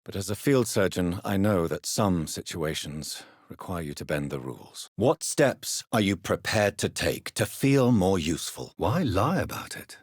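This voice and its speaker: Grave vampire doctor for a video game, low husky voice, very formal and earnest, lots of rise and fall. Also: male voice, no echo, clean studio sound, heavily acted. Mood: composed, earnest, then stern, intense. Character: grave, courteous, troubled gentleman doctor turned vampire. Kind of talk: dramatic video game dialogue.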